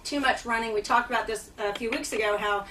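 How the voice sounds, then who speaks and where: A woman's voice: fairly high pitch, fairly even intonation, a little fast, pushed and bright, recorded from a distance, a little hiss or hum, rough recording. A woman fitness trainer speaking as a radio guest, earnest and chatty with many fillers, still getting over a lost voice. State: recovering from lost voice. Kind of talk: casual radio talk. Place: radio studio.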